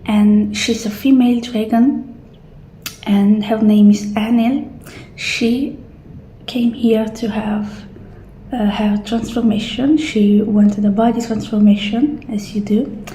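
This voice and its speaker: Woman acting as female futuristic clinic receptionist, ASMR roleplay, soft-spoken, gentle, polite, roomy echo, faint background hiss. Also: on the high side, steady tone. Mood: softly reassuring. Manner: gentle, unhurried, reassuring customer-service talk.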